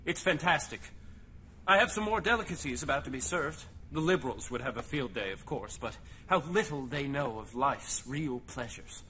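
An actor playing an eccentric, decadent, pompous politician in a video game cutscene, theatrical and effusive in manner. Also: male voice, medium pitch, animated, moderate pace, bright, forceful tone, a little hiss or hum, rough recording. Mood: gushing, smug. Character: eccentric, decadent, pompous male politician relishing grotesque delicacies.

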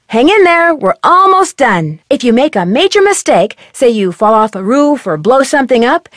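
A plucky young girl detective from a video game, inquisitive and friendly, with a fairly high, lively voice. She is recorded close and dry in a studio. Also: no room sound. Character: young female amateur detective, curious and upbeat. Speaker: game protagonist and tutorial guide.

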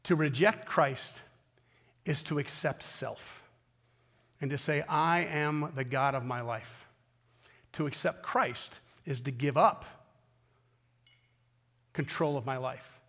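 An American man preaches from a church stage in a somewhat high-pitched voice. He is a pastor who speaks earnestly and with emphasis, repeating phrases and pausing deliberately between them. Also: a bit bright, in a large hall. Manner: earnest, emphatic delivery with deliberate pauses and repetition. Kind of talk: sermon. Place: large indoor church auditorium stage at a wooden pulpit.

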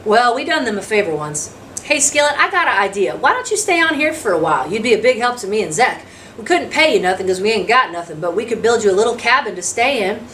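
A woman's voice: on the high side, big pitch swings, quick pace, fairly bright tone, pitched above the performer's own voice. Storybook frontier dad, read by a woman: hospitable, practical, country dialect. Faintly noisy, distant mic. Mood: practical, friendly. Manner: folksy rural dialect, practical and welcoming. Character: hospitable homesteader father, plain-talking frontier man.

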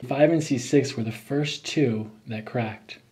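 A man in his thirties, a chiropractor, calmly giving coaxing hands-on instructions to a patient. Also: mid-range voice, unhurried. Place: small office, indoors. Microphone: microphone on boom stand.

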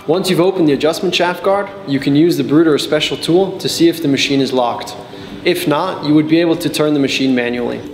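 A man in his twenties giving scripted instructional narration as a technical trainer, explaining each step in a measured way. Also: a little high. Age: 20s. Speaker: technical support and customer trainer. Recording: echoey, reverberant sound with background music. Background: background music.

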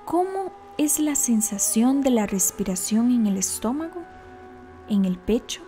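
A woman narrating a scripted guided meditation in a calm, deliberate, instructional manner. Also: fairly high pitch, soft background music.

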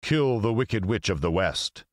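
A man's voice: fairly low pitch, expressive, a little slow, no room sound, studio quality, pitched above the performer's own voice. A man voicing a stern, commanding great wizard in the form of a giant head, speaking in imperious, emphatic pronouncements for a storybook. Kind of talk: storybook character voice.

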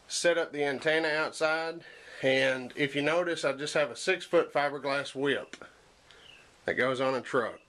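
A man's voice: fairly high pitch, a bit bright. A young man giving a casual, plain-spoken hands-on tutorial in a matter-of-fact way, recorded on a camera's own mic. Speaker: host of a DIY preparedness channel. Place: outdoors in a yard and in a wooden workshop. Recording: noisy handheld camera audio.

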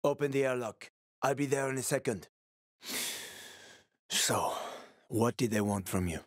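A sly middle-aged smuggler from a video game, played by a male actor, with a mid-pitched man's voice in a very dry recording. He talks casually and teasingly, like a streetwise survivor who always has a wisecrack ready. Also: a little slow, dry recording, natural voice. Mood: conspiratorial, matter-of-fact. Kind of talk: video game voice acting, conversational.

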